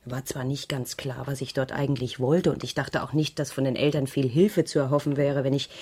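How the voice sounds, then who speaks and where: An actress playing an anxious, defensive schoolteacher who gives hesitant, earnest testimony in a radio crime drama. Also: female voice, extremely low pitch, little pitch movement, fairly quick, a little mellow, slightly rough. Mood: hesitant recounting. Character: worried, defensive female schoolteacher giving testimony.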